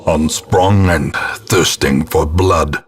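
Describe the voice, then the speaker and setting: An actor voicing a grim, ominous old ghostly ancestor as a gothic horror game narrator, solemn and theatrical, with a gravelly, husky voice. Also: male voice, rumbling low, faint music behind, full character voice. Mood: grim foreboding. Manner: solemn, portentous, deliberate delivery.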